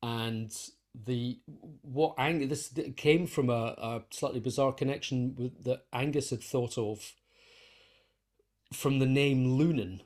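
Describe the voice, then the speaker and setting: Male guest for an arts interview: medium pitch, casual explanatory talk, works out phrases as he speaks. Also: slow, a little mellow. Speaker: interview guest, composer and conductor.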